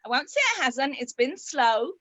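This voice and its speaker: Woman, copywriter guest, fairly high bright voice, chatty, many ums, dry close sound. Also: animated, moderate pace, dry recording. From Israel. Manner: chatty, upbeat, with frequent ums. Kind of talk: interview answers.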